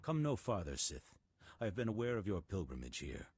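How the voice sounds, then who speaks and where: A stern old Jedi master from a video game. The voice is extremely deep and heavily husked. He speaks formally and solemnly, calm and unyielding, with measured weight. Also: exaggerated voice. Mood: stern, knowing. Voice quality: very deep, husky.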